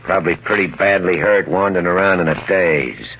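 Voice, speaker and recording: Low-voiced gangster henchman, old radio. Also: brisk. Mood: reassuring. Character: loyal, steadying male gangster henchman, practical and reassuring. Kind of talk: 1940s radio drama acting. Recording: muffled vintage 1940s radio recording.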